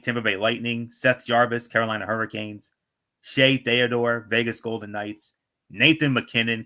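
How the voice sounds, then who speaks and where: A man's voice: on the low side, pushed and bright. A man hosting a sports talk show, reading out team rosters with small stumbles and chatty asides. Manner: reads names with stumbles and asides.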